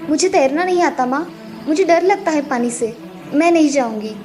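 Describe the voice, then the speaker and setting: A woman voicing a meek, kind young girl in a fairy tale, gentle, earnest and polite. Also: high voice, lively intonation, normal speed. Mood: timid. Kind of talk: acted children's story dialogue.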